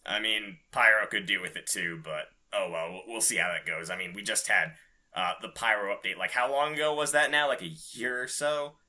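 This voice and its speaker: A man's voice: lowish voice, brisk, sharp tone. A man co-hosting a podcast, chatting casually and informally about video games with loose, slangy talk. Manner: informal, slangy, mildly profane. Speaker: podcast co-host.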